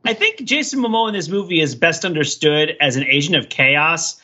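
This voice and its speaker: A man's voice: a little high, a little fast, pushed and bright, dull audio. A man co-hosting a podcast, chatting casually about film in a playful, joking way. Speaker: podcast co-host.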